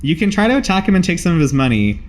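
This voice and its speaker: A man's voice: fairly high pitch, big pitch swings, racing. Wry male game master, casual. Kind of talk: casual tabletop game narration and banter.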